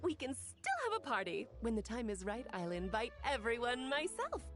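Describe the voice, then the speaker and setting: Playful, theatrical, high-voiced young woman. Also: very animated, normal speed. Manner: breezy, theatrical, teasing. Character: playful, mischievous, theatrical young woman who loves parties. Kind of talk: video game voice acting. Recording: music behind the voice.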